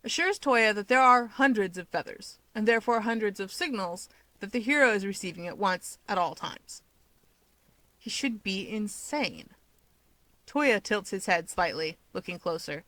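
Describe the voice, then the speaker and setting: A woman's voice: mid-range voice, expressive, moderate pace, fairly bright tone, no room sound. A woman reading scripted fiction aloud as an audiobook narrator.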